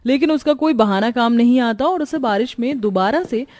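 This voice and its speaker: High-pitched woman narrating a cartoon story. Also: expressive, normal speed, darkish tone.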